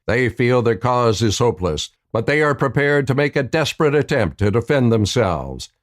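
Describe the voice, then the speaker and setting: A man's voice: mid-range voice, sing-song, moderate pace. A man giving dramatic scripted narration for an old-west radio play.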